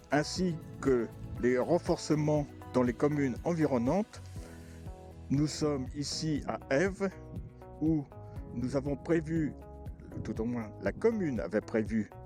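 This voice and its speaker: A man speaking as a local elected official on location in a village, giving an institutional statement in short, deliberate phrases. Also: mid-range voice, warm tone.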